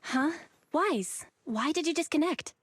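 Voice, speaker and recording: A woman voicing a perky, quick-witted young tech operator in an anime-style game, teasing yet decisive. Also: high-pitched, expressive, no echo. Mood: alert, urgent.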